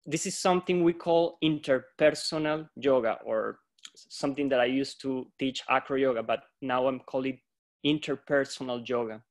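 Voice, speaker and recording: A man from Colombia speaks on a video call in a steady, mid-pitched voice. He sounds thoughtful and measured, like a yoga teacher giving a prepared reflection. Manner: reflective, measured, quotes philosophers. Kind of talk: prepared talk on a video panel.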